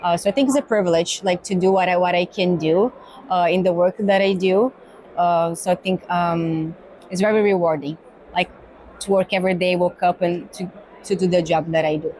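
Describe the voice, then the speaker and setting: A woman's voice: on the low side, fairly even intonation, normal speed, darkish tone, a little hiss or hum, rough recording. A woman from Brazil with a Brazilian Portuguese accent, answering interview questions as a cargo executive. She speaks thoughtfully, with many fillers and a habit of ending points with "right?". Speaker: guest, pharma cargo executive. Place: exhibition hall.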